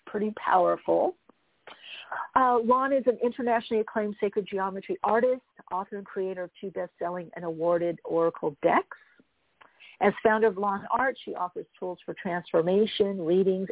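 A woman's voice: mid-range voice, creaky, no room sound. A woman hosting a spiritual talk show, chatting in a casual, rambling way and addressing her listeners informally. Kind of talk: casual live talk, interviewing. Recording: thin phone-like audio.

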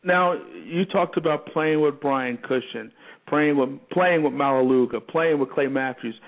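A man's voice: medium pitch, measured pace. A man hosting a sports talk show, interviewing a guest with probing, supportive questions over the phone. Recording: tinny phone line.

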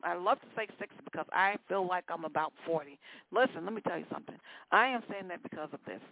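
A woman's voice: on the low side, fast, creaky, slight background noise. Chatty sixty-year-old woman DJ on a tinny line. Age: 60s. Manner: chatty, repeats phrases, calls listeners y'all and baby. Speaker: radio DJ. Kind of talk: casual on-air chat between songs. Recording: phone-like lo-fi, dry sound.

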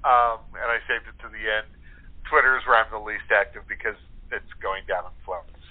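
A man's voice: lowish voice, steady tone, fairly quick. A man chatting casually as an interview guest, rambling and correcting himself as he goes. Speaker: guest, playwright and wedding DJ. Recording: narrow tinny phone call.

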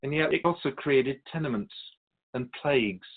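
A man's voice: mid-range voice, lightly acted. A man voicing a serene otherworldly keeper of souls. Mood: calm, wise. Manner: measured, serene, philosophical, gently lecturing. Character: otherworldly male soul-realm keeper, calm and wise. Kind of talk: radio drama acting. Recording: tinny phone-like sound.

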